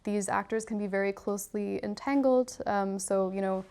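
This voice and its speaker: A woman answering questions after her lecture in a measured, explanatory way. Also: mid-range voice, steady tone, darkish tone, clean tone. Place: lecture room.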